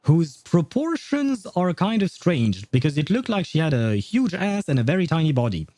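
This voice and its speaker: Mellow, chatty man livestreaming a game. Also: fairly high pitch, very animated. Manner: rambling, halting, chatty with viewers. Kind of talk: casual livestream chat and commentary.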